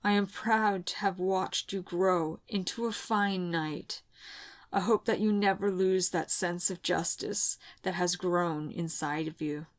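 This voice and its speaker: A woman voicing a dying female knight who speaks weakly and haltingly to her young student. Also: fairly low pitch, steady tone, natural voice. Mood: tender farewell. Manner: weak, halting, tender. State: fading, in pain. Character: dying older female knight, gentle mentor.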